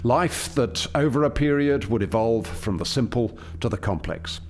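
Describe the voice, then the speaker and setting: Radio play lead: male actor as proud alien project scientist, formal and articulate, very lively pitch movement, mid-range voice. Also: normal speed, a little hiss or hum, lightly acted. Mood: businesslike. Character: male alien chief scientist, eager, proud, explaining his experiment. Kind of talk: radio play dialogue, expository.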